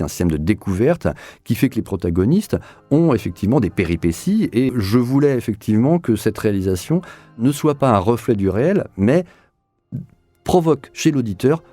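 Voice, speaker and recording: Male director, low-pitched interview voice, explanatory and repeating for emphasis, light music underneath. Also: mellow, dry recording. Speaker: audio drama director being interviewed. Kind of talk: reflective interview talk.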